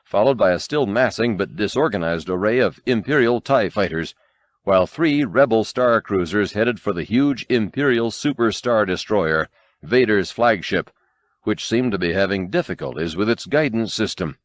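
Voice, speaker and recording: A man's voice: fairly low pitch, animated, normal speed. A man narrating an audiobook in a dramatic, scripted storytelling style.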